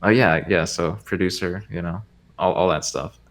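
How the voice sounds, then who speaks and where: A young man with a deep, low-pitched voice and an American accent, whose first language is Spanish. He talks as a podcast guest in a loose, casual way, wandering through his points with plenty of "like" and "um". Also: fairly even intonation, normal speed. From United States. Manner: rambling, lots of "like" and "um" fillers. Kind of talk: casual chat.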